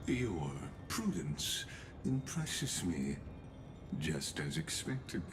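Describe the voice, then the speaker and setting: A man voicing a courteous, enigmatic scholar in a video game, speaking in a formal, deferential and measured manner. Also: low voice, big pitch swings, noisy background, exaggerated voice. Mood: guarded, persuasive. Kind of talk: scripted video game voice acting.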